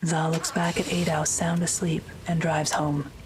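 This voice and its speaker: Woman, audio drama narrator, very low pitch, flat intonation, matter-of-fact, measured. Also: noisy background.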